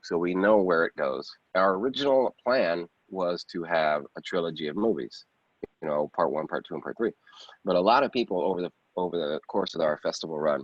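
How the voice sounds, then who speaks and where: A man giving a casual interview as an independent filmmaker, rambling conversationally and often repeating phrases. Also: sits low. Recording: dull, roomy lo-fi sound.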